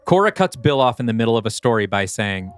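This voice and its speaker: A mid-pitched man narrates a scripted audio drama like a screenplay, scene by scene. Faint music sits behind his voice. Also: very animated, fast talker. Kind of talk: scripted audio play narration, present tense.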